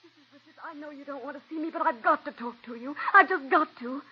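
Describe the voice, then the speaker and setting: An actress playing a young, ambitious stage actress who pleads tearfully and breathlessly, in 1940s radio drama style. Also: female voice, high voice, fast talker, lightly acted. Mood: pleading. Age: young adult. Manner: breathless, pleading, breaks into sobs. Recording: dull old radio broadcast.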